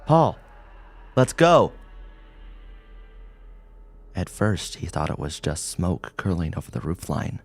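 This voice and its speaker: A man reading a scripted horror story as an audiobook narrator, in a measured, dramatic way. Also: sits low, vocal fry, a little mellow, husky.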